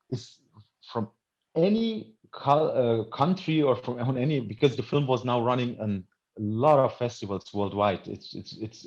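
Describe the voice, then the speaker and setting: A man born in Iran who is more German than Iranian, a non-native English speaker and film director, answering interview questions earnestly and emphatically, with halting restarts. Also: mid-range voice, darkish tone. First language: not English. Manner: earnest, emphatic, halting with repeated word starts. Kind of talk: festival Q&A conversation. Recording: dull, lo-fi audio.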